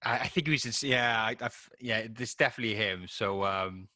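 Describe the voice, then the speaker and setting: A man's voice: lowish voice, brisk, bright, forceful tone, dry recording. A man with a Welsh accent co-hosting a casual film review chat, informal and a little hesitant. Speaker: film review show co-host.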